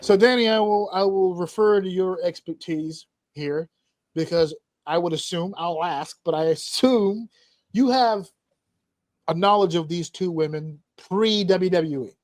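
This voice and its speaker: A man's voice: sits high, measured pace, darkish tone, no room sound. A man hosting a casual wrestling panel chat, joking crudely with his guests. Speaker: podcast host.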